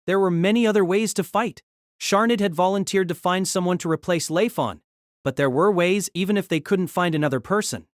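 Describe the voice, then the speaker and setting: A woman's voice: on the low side, a little fast, no echo, studio quality. A woman narrating a scripted novel as a dramatic storyteller.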